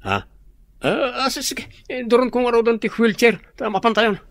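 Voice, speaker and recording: A man playing a bitter, concerned figure quick to blame, in a radio drama, with an Ilocano Filipino accent. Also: extremely high pitch, vocal fry, a bit bright, dry recording, clean studio sound, full character voice.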